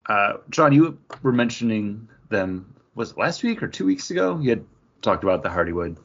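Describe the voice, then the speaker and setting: A man's voice: on the low side, sing-song, normal speed, a bit bright. A man chatting casually as a podcast host, giving his take on a drink. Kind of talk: casual chat, beer review. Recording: slightly dull, lo-fi recording.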